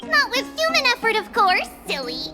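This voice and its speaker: A woman's voice: very high-pitched, sing-song, normal speed. A woman voicing a tiny, cheerful childlike girl priest in a video game, bubbly, exclamatory, helpful and proud. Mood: playful, encouraging. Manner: bubbly, exclamatory, speaks of herself as "we". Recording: music behind the voice.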